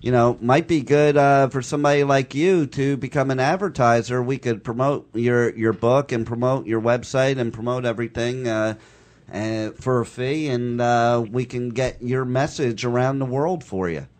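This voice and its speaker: A man's voice: medium pitch, fairly even intonation, normal speed, a little mellow. Man hosting a loose, chatty radio show. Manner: loose and upbeat, many uh fillers. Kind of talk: casual radio talk.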